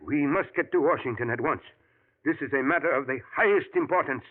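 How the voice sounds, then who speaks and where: A man's voice: fairly high pitch, brisk, lightly acted. A man playing a formal, professor. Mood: earnest. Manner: formal, stiff phrasing without contractions. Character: learned older male professor, formal, determined. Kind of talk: 1940s radio acting. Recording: tinny old radio broadcast.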